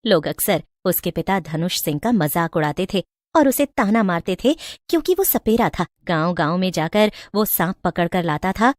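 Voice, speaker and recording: A woman's voice: on the low side, animated, moderate pace, few pauses, dry recording. Female storyteller for scripted moral tales, close and dry recording. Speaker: story narrator.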